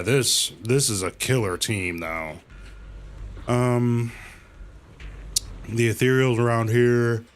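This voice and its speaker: A man doing casual livestream commentary as he plays a video game, with music behind him. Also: mid-range voice.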